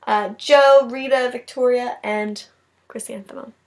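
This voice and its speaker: A woman's voice: on the high side, fairly even intonation, measured pace. A woman teacher reading a picture book aloud expressively, with casual asides to her class.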